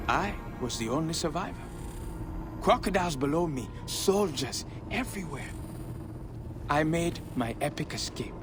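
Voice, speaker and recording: A man's voice: fairly high pitch, animated, moderate pace, music in the background, natural voice. An actor playing a boastful red-haired young thief who spins his tales glibly in a game cutscene. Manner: boastful, glib storyteller.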